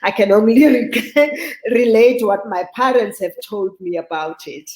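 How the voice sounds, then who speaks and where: A woman's voice: fairly high pitch, a little slow, darkish tone, reverberant. A woman in her sixties with a South African accent, speaking conversationally as a guest in an online interview. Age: 60s. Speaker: guest, arts advisor.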